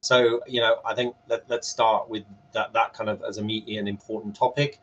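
A man's voice: fairly low pitch, level tone, a little fast, a bit bright. A man moderating an unscripted panel over a video call, hesitating and repeating words as he goes. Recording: muffled, band-limited video call.

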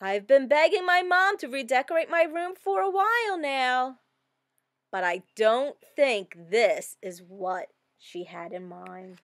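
A woman reading a storybook as an earnest, excitable little girl, with dramatic expression. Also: high-pitched, slow, no room sound, studio quality, exaggerated voice. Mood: amazed. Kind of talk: scripted storybook narration.

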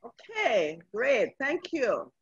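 A woman's voice: sits high, wildly swooping pitch, drawn-out words, slightly rough. A woman hosting and moderating a panel discussion. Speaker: forum host and moderator. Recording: harsh, roomy, dull online call audio.

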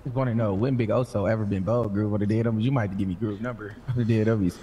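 A man's voice: on the low side, steady tone, racing, dark tone, a little hiss or hum. An American man bantering in slang as a gang member in an online game, brash and insistent, often repeating himself.

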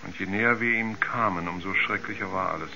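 An actor playing a haunted, frightened astronaut, halting and dazed as he searches for words in a seventies radio play. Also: low voice, creaky, bright, forceful tone, a little hiss or hum, full character voice. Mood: haunted, fearful. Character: haunted, frightened male astronaut recounting a moon ordeal. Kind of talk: 1970s German radio drama acting. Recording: dull vintage radio recording, music underneath.

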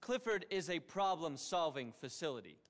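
Pompous tech billionaire in a game, high voice, swoopy keynote delivery, petulant, big echoey hall. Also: male voice, big pitch swings, normal speed. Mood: urgent, grandiose. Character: eccentric, self-important tech billionaire man, petulant and grandiose. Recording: reverberant hall sound.

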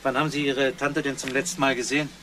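An actor playing a calm, methodical police inspector who questions witnesses matter-of-factly in a German crime radio drama. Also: male voice, mid-range voice, fast, noisy background, lightly acted. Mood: matter-of-fact, inquiring. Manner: probing, matter-of-fact questioning.

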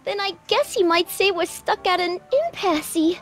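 A woman's voice: extremely high pitch, steady tone, measured pace, fairly bright tone, faint music behind. A woman voicing a brassy young American showgirl of the 1930s in a video game, sassy and flippant.

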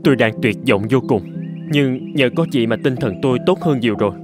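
A man's voice: medium pitch, animated, fairly quick, lightly acted. Man acting downcast, sincere inventor over music. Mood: grateful, resolved. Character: dejected, wronged male inventor, gentle and sincere. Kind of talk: cartoon drama dubbing.

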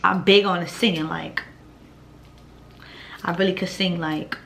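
A young woman in her twenties with a deep voice, chatting bluntly and profanely through a Q&A vlog at home. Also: fairly even intonation, a little slow, creaky, fairly bright tone, slight background noise. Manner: blunt, profane, laid-back with laughs. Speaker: vlogger answering viewer questions. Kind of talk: casual Q&A vlog. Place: living room at home, indoors.

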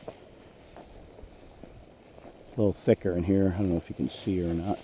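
A man with a hoarse voice, narrating plainly as he trains a dog in an open field, recorded on a head-mounted action camera. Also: low-pitched, level tone, normal speed, dark tone, slight background noise, low-quality recording. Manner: plain, matter-of-fact, clears throat often. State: hoarse, losing his voice. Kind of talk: narrating a hunt while commanding a dog. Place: open grassy field outdoors.

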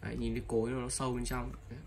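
A man selling used fishing reels in a casual, repetitive sales talk, filling pauses with ums and calling his buyers brothers. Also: medium pitch, little pitch movement, gravelly, a little mellow, slight background noise. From Vietnam. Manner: repetitive, many fillers, addresses buyers as brothers. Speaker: fishing tackle seller. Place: indoors, table of fishing reels. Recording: distant mic, music playing behind.

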